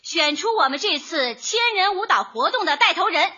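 An actress playing an encouraging, effusive neighborhood committee director rallying residents at a meeting in a light comedy radio drama. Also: female voice, very high voice, expressive, moderate pace, bright, forceful tone, exaggerated voice. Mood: brisk, chairing. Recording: dull sound, faint noise, crowd behind.

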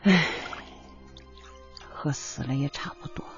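A woman's voice: low-pitched, slow pace. A woman acting a careworn, devoted middle-aged mother in a radio drama, speaking in an earnest, plaintive, homely way. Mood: tender, grave. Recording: dull radio drama recording, music bed.

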